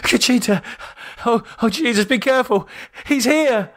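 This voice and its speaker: A man voicing a terrified, raving man blinded in a dark cellar for a horror audiobook, frantic, sweary and stammering. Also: extremely high pitch, normal speed, pitched above the performer's own voice. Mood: panicked. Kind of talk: audiobook character voice.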